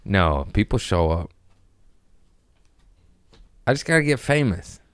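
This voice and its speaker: Deep-voiced man in loose profane banter. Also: sing-song, slow, clean tone, dry recording. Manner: loose, profane, jokey rambling. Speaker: podcast host, comedian. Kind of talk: casual chat.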